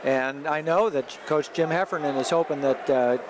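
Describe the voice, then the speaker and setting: A man's voice: fairly high pitch, moderate pace. A man working as a play-by-play announcer, giving rambling, explanatory live wrestling commentary for radio. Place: large indoor sports arena. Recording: loud crowd and gym noise behind. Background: crowd, bouncing and thuds of a tournament.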